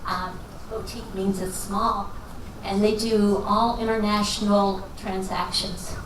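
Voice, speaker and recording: A woman from Texas speaks as a guest finance professor at an evening lecture, folksy and quick to laugh at herself. Her voice comes from far off in a reverberant hall, with steady noise under it. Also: medium pitch. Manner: folksy and self-deprecating, jokes and laughs as she talks. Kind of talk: answering questions at a lecture.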